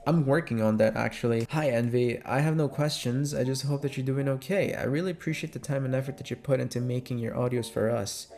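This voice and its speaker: A man's voice: mid-range voice, fairly even intonation, fairly quick, vocal fry, hardly any pauses, darkish tone. A man with a Filipino accent chatting casually and candidly to listeners, answering questions in an informal way with the odd swear word. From Philippines. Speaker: ASMR voice actor and creator. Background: music.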